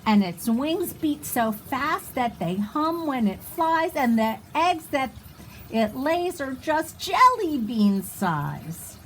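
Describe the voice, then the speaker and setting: Animated high-voiced woman reading to children. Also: slight background noise. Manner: expressive, sing-song, pausing for asides to young listeners. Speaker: children's storybook reader. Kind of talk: read-aloud of a rhyming picture book for children.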